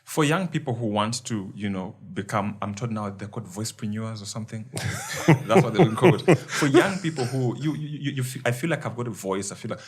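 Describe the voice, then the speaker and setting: A man hosting a podcast, asking searching questions in a halting way with restarts. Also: lowish voice, animated, crowd noise. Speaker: podcast host. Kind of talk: interview questions.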